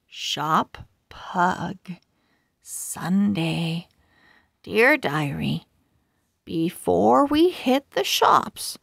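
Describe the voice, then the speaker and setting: A woman reading a children's storybook aloud as a read-aloud host, in an expressive, deliberate way. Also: on the high side, creaky, no echo, studio quality. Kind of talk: slow scripted storybook read-aloud for young readers.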